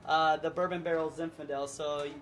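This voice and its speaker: A man's voice: a little high, level tone, brisk. A man hosting a casual wine tasting, prompting and joking with his guests. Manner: jokey, prompting. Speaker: host, sales director. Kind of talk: casual chat.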